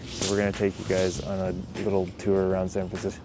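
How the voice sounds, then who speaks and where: A man's voice: sits low, completely flat delivery, brisk, background noise. A young man with a North American accent, talking casually and narrating a travel vlog, with music behind him. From Canada and the USA. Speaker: vlogger.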